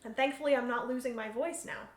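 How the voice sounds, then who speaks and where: High-voiced woman streaming in a roomy room. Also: fairly even intonation, quick pace. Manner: chatty, thinks aloud, laughs often. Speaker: host. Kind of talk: solo board game livestream commentary. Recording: roomy room echo, faint hum.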